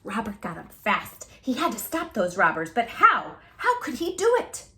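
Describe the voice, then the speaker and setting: A woman reading a children's picture book aloud as a storytime host, theatrically, with drawn-out words and little asides to the listener. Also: fairly high pitch, wildly swooping pitch, bright, forceful tone.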